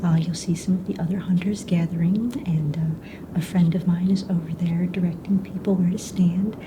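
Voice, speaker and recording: Casting: a woman as a friendly, unhurried fantasy hunt hostess for ASMR, whispering softly in a slightly low register over clear background noise. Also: fairly even intonation, lightly acted. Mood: helpful, patient. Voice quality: soft, breathy whisper. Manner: casual, hesitant, explanatory, matter-of-fact about rules and danger. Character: calm, friendly mistress of ceremonies of a fantasy dragon egg hunt. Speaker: ASMR roleplayer. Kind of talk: soft-spoken whispered ASMR roleplay. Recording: noisy close recording with low rumble.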